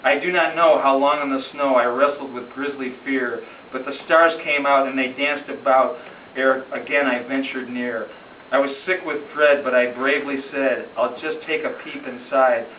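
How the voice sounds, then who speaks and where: A man's voice: mid-range voice, steady tone, moderate pace. A man recites a narrative poem in a dramatic, storytelling way. His phrasing is rhythmic and emphatic, like an amateur reading aloud at home. The sound is roomy and a little dull, picked up from a distance over faint noise. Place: room in a home, at a gathering.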